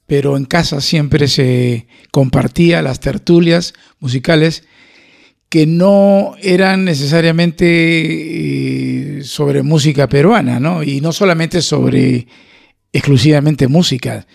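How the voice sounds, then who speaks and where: A Peruvian man speaking as a guest musician in an interview, halting and reflective, correcting himself as he goes. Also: fairly high pitch, gravelly, darkish tone. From Lima, Peru. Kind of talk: conversational interview.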